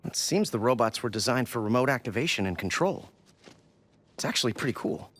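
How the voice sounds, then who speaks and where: A man's voice: mid-range voice, expressive, fairly quick, fairly bright tone. A man playing an eager, curious, upbeat teenage boy adventurer in a video game cutscene. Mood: curious, matter-of-fact. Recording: music behind the voice.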